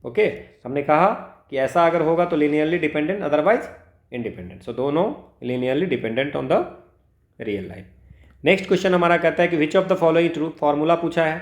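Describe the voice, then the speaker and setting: A man's voice: fairly high pitch, unhurried. A man with an Indian accent giving an informal maths lesson, working step by step, asking himself questions and mixing two languages. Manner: code-switches between two languages, asks himself questions, frequent check-words. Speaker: maths teacher for exam preparation. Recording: roomy, echoing sound.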